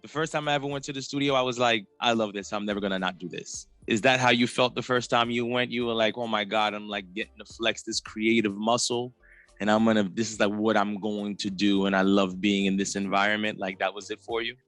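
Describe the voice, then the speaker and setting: A man's voice: steady tone, brisk, fairly bright tone, dry recording. Laid-back man hosting a music podcast. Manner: laid-back slang, swings pitch for quoted reactions. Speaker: podcast host. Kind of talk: casual interview chat.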